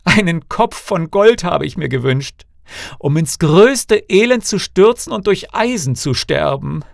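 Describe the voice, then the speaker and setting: A man's voice: high voice, animated, measured pace, vocal fry, no room sound, studio quality, voice pushed up, full character voice. A man voicing a despairing young princess, wailing and blaming herself, in a fairy tale audiobook. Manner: wailing, self-reproaching. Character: despairing young princess lamenting her bitter fate.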